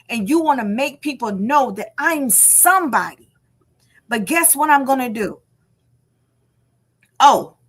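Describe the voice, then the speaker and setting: A woman's voice: fairly high pitch, husky. A woman giving casual livestream gossip commentary, telling stories emphatically, acting out quotes and repeating phrases for effect. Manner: animated storytelling, acts out quotes. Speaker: livestream host.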